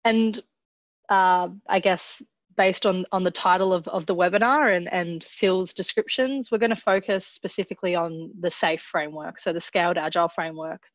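A woman's voice: on the low side, steady tone, normal speed, dry recording, lo-fi sound. Upbeat Australian woman presenting. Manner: upbeat, with filler ums and repeated words. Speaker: product manager presenting a webinar. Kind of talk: webinar presentation.

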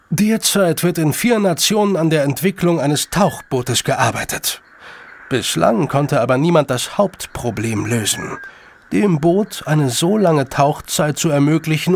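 Shrewd snooty gentleman in a radio play, higher pitched voice, creaky, very formal and measured. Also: slight background noise, full character voice. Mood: probing, superior. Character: shrewd, superior gentleman, elder brother of a detective. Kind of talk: German audio drama acting.

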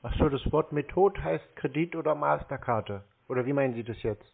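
A thin telephone line carries a man acting a slow-witted, obliging customer. The voice is mid-pitched with heavy fry, and he takes everything literally in a deadpan way on this prank call. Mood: dimly puzzled. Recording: narrow-band phone call.

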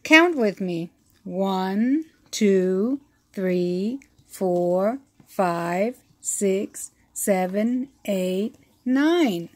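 A woman reading a children's math picture book aloud, counting slowly and asking the listener questions, recorded on a phone held over the book. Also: mid-range voice, lively intonation, a little mellow. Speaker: read-aloud teacher.

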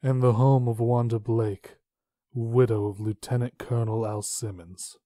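Comic-book narrator, read by a man: very soft-edged dark tone, medium pitch, sober scene-setting. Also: steady tone, a little slow, no echo, close to an everyday voice. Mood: matter-of-fact. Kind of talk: scripted narration.

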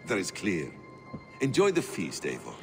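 A man playing a calm, melancholy warrior in a video game, measured and formal in his speech. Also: deep voice, heavily acted. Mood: calm, reassuring. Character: calm, melancholy, courteous male traveller-warrior. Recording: long hall reverb, music behind.

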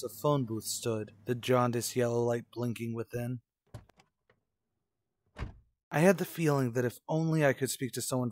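A man's voice: medium pitch, lightly acted. An actor playing a haunted, unravelling young man in an old-time radio suspense drama, with a brooding, dramatic delivery. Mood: weary, subdued.

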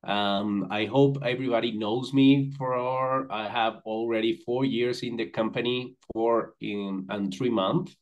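A man's voice: medium pitch, fairly even intonation, measured pace, few pauses, lo-fi sound. Man talking on a video call. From Venezuela. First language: Spanish. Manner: friendly, rambling with restarts and fillers. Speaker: company managing director, guest. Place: indoors with virtual backdrop. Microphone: video call, computer mic.

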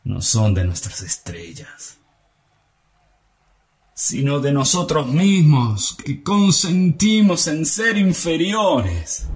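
A man's voice: medium pitch, slight background noise. A man in his forties performing a theatrical monologue as a bitter, envious Roman conspirator, declaiming with sneering mockery. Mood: scornful. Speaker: stage actor.

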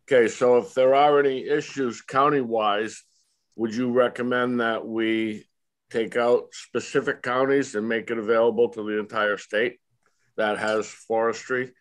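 A man's voice: medium pitch, little pitch movement, measured pace. A man on a committee questioning a witness over a video call, direct and plain. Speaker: state representative on committee.

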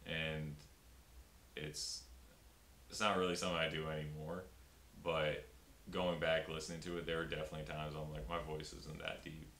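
Very deep-voiced young man, flat delivery. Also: moderate pace, vocal fry, long pauses. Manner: rambling, many fillers and restarts. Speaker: stand-up comedian guest. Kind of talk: casual interview talk. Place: small room before a pink backdrop. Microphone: fixed camera, upper-body framing. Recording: roomy with faint hiss.

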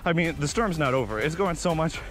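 A man's voice: fairly high pitch, brisk, soft background music. Man telling stories while jogging on a beach. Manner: animated, excitable storytelling with sound effects. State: slightly out of breath from running. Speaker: vlogger. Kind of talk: casual storytelling while jogging. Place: outdoors on a sandy beach. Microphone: body-mounted action camera.

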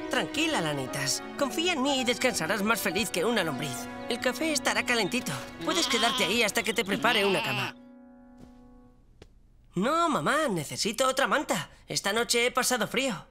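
A woman's voice: on the high side, big pitch swings, normal speed, over music. An actress voicing a cheeky, mischievous young boy in a cartoon dub. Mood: eager. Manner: eager, scheming. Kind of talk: cartoon dubbing.

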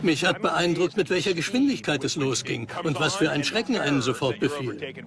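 A man's voice: a little high, animated, a little fast. A man talking conversationally as a participant in a dubbed documentary.

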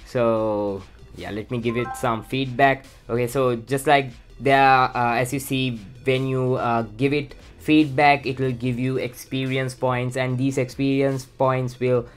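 A man with a Sri Lankan accent talks through a phone setup at his desk in a relaxed, unscripted way. His speech is full of small fillers and "let's go ahead" phrases. A faint music bed sits behind him. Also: medium pitch, measured pace, few pauses. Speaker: tech channel host. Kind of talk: casual how-to walkthrough.

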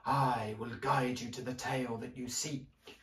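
A man voicing a hooded spectral librarian who speaks in a slow, hushed whisper, in a roomy recording made some way off the mic. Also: medium pitch, steady tone, recorded from a distance, lightly acted. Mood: solemn. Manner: slow, hushed, measured speech.

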